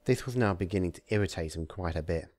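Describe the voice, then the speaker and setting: A man narrates fan fiction as an amateur reader, moving between storytelling and informal commentary. His voice is fairly low and very mellow. The recording is dry, with hardly any room sound. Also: fast talker, no echo.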